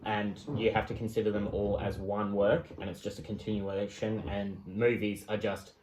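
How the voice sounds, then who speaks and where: A man's voice: lowish voice, level tone, moderate pace, a little mellow, slight background noise. A man co-hosting a podcast, chatting casually. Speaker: podcast co-host. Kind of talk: casual chat. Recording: roomy, echoing voice pickup.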